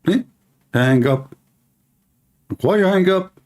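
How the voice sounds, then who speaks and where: A man's voice: high-pitched, lively intonation, slow pace, a little mellow. A man with an Arabic accent, a native Arabic speaker, hosting informal live teaching in a mocking, repetitive way full of rhetorical questions. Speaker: live stream host and religious polemicist.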